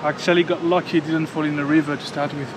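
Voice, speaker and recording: A man in his thirties from France, vlogging casually outdoors on a jungle trail with a handheld action camera, chatty and excitable, sweaty and a little out of breath from climbing. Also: on the high side, fast, background noise. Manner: chatty and excitable, talks to viewers as guys, swears. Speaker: travel vlogger. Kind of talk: casual vlog commentary. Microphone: handheld action camera at arm's length.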